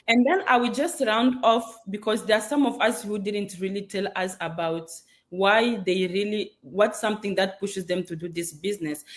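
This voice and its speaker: A woman hosting an online group call, introducing each speaker in a slow, deliberate way. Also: medium pitch. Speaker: host.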